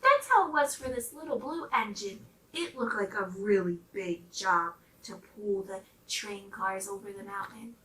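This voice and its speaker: A woman reading a storybook aloud to young children in an animated, expressive way. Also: mid-range voice, unhurried, few pauses, a little hiss or hum. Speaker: storybook reader. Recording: roomy, slightly distant microphone.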